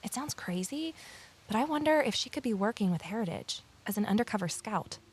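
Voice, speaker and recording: A woman's voice: medium pitch, animated, a little fast, a little hiss or hum, natural voice. A woman playing a reserved, practical, watchful tour guide in an audio drama, with a plainspoken, slightly hesitant manner. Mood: suspicious.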